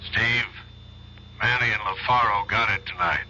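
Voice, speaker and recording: A man's voice: lowish voice, normal speed, pushed and bright, dull audio, faint music behind. An actor playing a stern male narcotics bureau chief in a radio drama, giving blunt orders.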